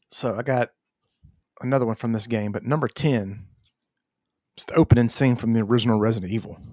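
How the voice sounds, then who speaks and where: A man's voice: medium pitch, moderate pace, pauses often, a little mellow, dry recording, clean studio sound. A man co-hosting a gaming podcast, talking in an informal, conversational way. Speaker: podcast co-host. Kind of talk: casual gaming podcast chat.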